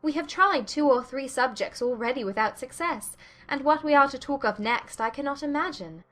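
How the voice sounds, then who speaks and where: A woman playing a witty, spirited young Regency gentlewoman with an arch, teasing manner in a dramatized audiobook. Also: high-pitched, normal speed, soft background music. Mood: flustered, playful.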